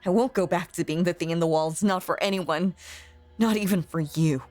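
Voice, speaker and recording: A woman voicing a lonely, possessive young ghost in an intimate audio roleplay, speaking in a hushed, halting, breathy voice that is tender and sweetly sinister. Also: lowish voice, soft background music, close to an everyday voice. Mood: sorrowful, possessive. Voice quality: breathy, often slipping into whisper.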